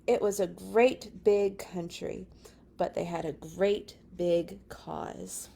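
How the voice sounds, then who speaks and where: A woman's voice: lowish voice, steady tone, slow, vocal fry, mellow, slightly rough. A woman reading a picture book aloud to children, with dramatic emphasis and chatty asides. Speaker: children's read-along storyteller.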